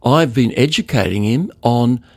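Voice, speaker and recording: A man's voice: medium pitch, animated, normal speed, no echo. Older man, emphatic, in a dry recording. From Australia. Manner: emphatic, repeats words for emphasis. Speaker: loudspeaker designer, podcast guest. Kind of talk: conversational interview storytelling.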